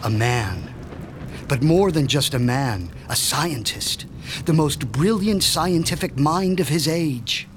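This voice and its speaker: An actor playing a proud, formal mad-scientist doctor in a radio drama, speaking with precise, pompous diction. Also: male voice, on the high side, expressive, takes it slowly, background noise. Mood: measured. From Switzerland. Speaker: mob doctor.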